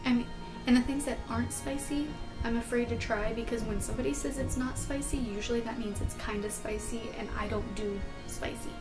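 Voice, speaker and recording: A young woman with an American accent and a fairly high voice talks casually to her viewers. She rambles through question-and-answer chat in an informal, self-deprecating way, with music clearly playing behind her. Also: fairly even intonation, brisk. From California, United States. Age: young adult. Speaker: video blogger answering tag questions. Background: background music.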